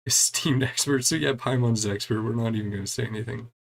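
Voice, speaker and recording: A man's voice: mid-range voice, quick pace, vocal fry. A man giving casual gameplay commentary, reading game dialogue aloud and adding wry, chuckling reactions in a laid-back way. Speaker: gaming streamer doing a playthrough.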